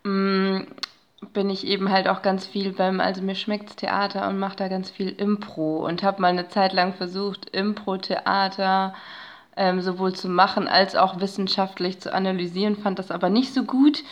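A woman's voice: mid-range voice, level tone, normal speed. A woman giving casual, explanatory interview answers as a podcast guest, thinking aloud and searching for words as she goes. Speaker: podcast guest, narratology researcher and improv performer.

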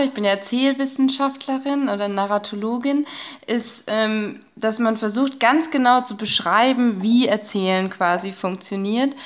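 A woman giving casual, explanatory interview answers as a podcast guest, thinking aloud and searching for words as she goes. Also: fairly high pitch. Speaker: podcast guest, narratology researcher and improv performer.

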